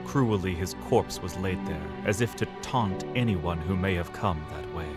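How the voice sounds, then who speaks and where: A man narrating a fantasy audio drama in a measured, dramatic storytelling manner. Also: low voice, over loud music.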